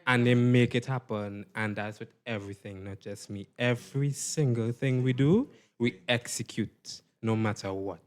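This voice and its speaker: A man's voice: medium pitch, normal speed, few pauses. A young man with a Trinidadian accent giving earnest interview answers as a stage performer. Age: young adult.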